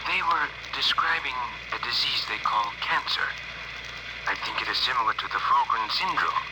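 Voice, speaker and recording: An actor playing a thoughtful, regretful alien spacefarer in a measured 1950s radio drama style. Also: male voice, medium pitch, lively intonation, close to an everyday voice. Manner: measured, musing. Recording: noisy crackly old radio recording.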